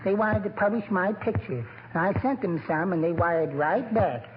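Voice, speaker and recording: An actor playing a meek, timid, sweetly polite henpecked husband in 1940s radio comedy. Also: male voice, high voice, moderate pace, close to an everyday voice. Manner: mild, overly polite, timid. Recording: dull, noisy old radio recording.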